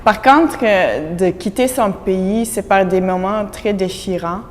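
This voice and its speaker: A woman from Belgium whose first language is Dutch speaks in an interview, earnest and reflective, with frequent fillers. Her voice sits at a middle pitch. The room is echoey and there is faint background noise. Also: takes it slowly. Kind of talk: on-camera interview.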